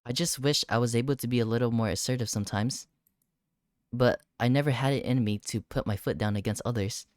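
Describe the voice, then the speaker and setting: A woman voicing a mild, apologetic teenage club president from a visual novel, gentle and self-deprecating. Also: rumbling low, fairly quick, no echo, clean studio sound. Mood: apologetic, soft. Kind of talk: acted reading of game dialogue.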